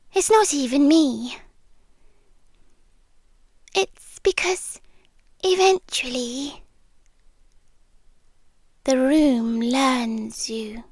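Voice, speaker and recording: A British woman playing a possessive, unsettling yandere girlfriend in an ASMR roleplay, softly and breathily, often slipping into a whisper. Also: high-pitched, no echo, studio quality, exaggerated voice. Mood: quietly menacing. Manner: slow, deliberate, lingering pauses. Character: possessive, unsettling yandere demi-human girlfriend, tender yet menacing. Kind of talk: ASMR roleplay, slow intimate delivery. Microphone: close microphone, dry.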